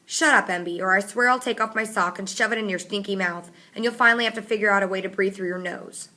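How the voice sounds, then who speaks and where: A woman's voice: mid-range voice, fast, bright, forceful tone, natural voice. Audiobook: woman reading as an irritable teen boy, blunt and curt. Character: tough, short-tempered teenage runaway boy.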